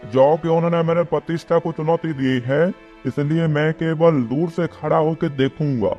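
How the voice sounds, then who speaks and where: A man plays a disgraced village headman from a comic cartoon, blustering and exasperated. His voice is on the high side, quick to scold and grumble, over faint music. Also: steady tone, darkish tone, highs cut off, close to an everyday voice. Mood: resentful. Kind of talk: Hindi comic cartoon dialogue.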